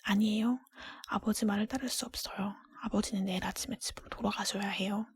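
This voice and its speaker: A woman voicing a kind, honest, resolute young woman in a fairy tale, polite and tender in a breathy whisper. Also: medium pitch, fairly even intonation, fairly quick. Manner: polite, candid, tender speech.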